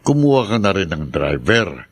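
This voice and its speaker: Low, animated, dutiful brother. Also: male voice, normal speed. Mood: solicitous. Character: helpful, dutiful middle-aged younger brother. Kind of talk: radio drama acting.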